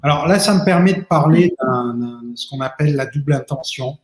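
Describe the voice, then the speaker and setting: A man's voice: a little high, a little fast. A man, an immigration lawyer, answers audience questions at length, with many fillers and restarts. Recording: roomy echo.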